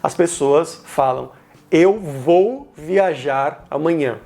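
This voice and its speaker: A man's voice: fairly high pitch, slow pace, gravelly, darkish tone. A man with a Brazilian accent giving an informal language lesson, explaining didactically and stressing key words. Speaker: language teacher, video host. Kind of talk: informal grammar lesson to camera.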